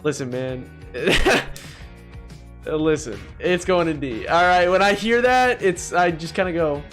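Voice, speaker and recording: A man's voice: a little high, unhurried, soft background music. A young man giving casual, opinionated, joking commentary as he ranks things in a gaming video. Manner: animated, joking, mildly profane.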